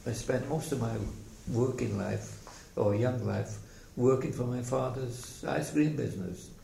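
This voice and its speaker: An older man from South Wales with a slight Welsh accent and a gravelly voice, telling his life story in a halting, reflective way for an oral history interview. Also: medium pitch, mellow. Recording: echoey room, faint background noise.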